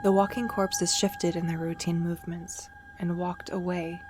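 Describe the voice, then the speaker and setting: A woman playing a weary, grieving old soldier who narrates a dark fantasy audio drama in a solemn, dramatic manner. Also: fairly low pitch, huge pitch swings, moderate pace, over music. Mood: tense, wary.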